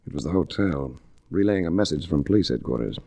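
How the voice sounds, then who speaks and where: A man's voice: rumbling low, lively intonation, fairly quick, creaky, mellow, no room sound, heavily acted. An actor playing a wry, hard-boiled adventurer-investigator who narrates his own case in a 1940s radio drama. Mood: wry narration. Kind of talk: 1940s radio detective acting. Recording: restored 1940s radio recording.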